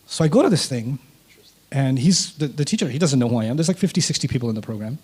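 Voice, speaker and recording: Brief: male interview guest, Urdu first language, mid-pitched, animated storyteller who voices the people he quotes. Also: fast, slightly rough. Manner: animated, acts out quoted dialogue.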